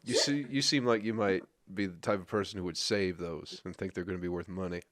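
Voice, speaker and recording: A man's voice: low-pitched, fairly quick. A man in his thirties hosting a podcast, talking in a laid-back way and swearing freely. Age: 30s. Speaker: podcast host. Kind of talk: casual podcast chat.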